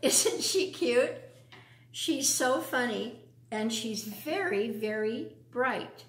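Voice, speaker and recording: Older american lady doing kids storytime, really up and down pitch, echoey living room. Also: animated, a bit bright. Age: 60s or older. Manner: simple, deliberate phrasing for young children. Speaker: storytime host reading children's books. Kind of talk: children's storytime talk and read-aloud. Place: living room at home, indoors. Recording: roomy echo.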